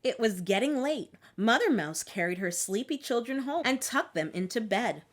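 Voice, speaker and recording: A woman narrates a children's story from a script. Her pitch is fairly high and swings widely, giving each sentence an expressive, theatrical lift. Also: normal speed. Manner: expressive, dramatic read-aloud delivery. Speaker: children's book reader.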